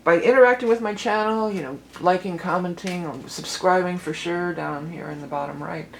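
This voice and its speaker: A woman with a lowered voice, doing a chatty, rambling tarot reading for her vlog, full of fillers and restarts. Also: normal speed, slight background noise, poor audio. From Cleveland, Ohio. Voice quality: lower voice than she once had. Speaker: tarot reader and musician.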